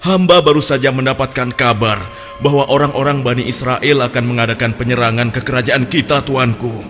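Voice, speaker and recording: A man's voice: mid-range voice, steady tone, fairly quick, fairly bright tone, close to an everyday voice. A man playing a humble, deferential soldier in a cartoon, speaking respectfully and earnestly to his superiors. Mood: apologetic, urgent. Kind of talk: Indonesian cartoon voice acting.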